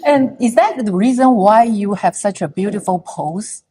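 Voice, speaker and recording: A woman in her sixties hosting an interview, asking gentle questions and answering with short, encouraging replies. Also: mid-range voice, animated, moderate pace, slight background noise. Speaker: interviewer and host.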